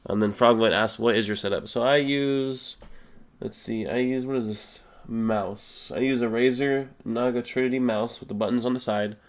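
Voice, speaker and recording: A young man with a stuffy, slightly sick voice, casually answering viewer questions as a gaming YouTuber, relaxed and full of fillers. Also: mid-range voice, normal speed. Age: young adult. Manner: relaxed, rambling, many fillers. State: stuffy nose, a little sick. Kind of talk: casual Q and A voice-over.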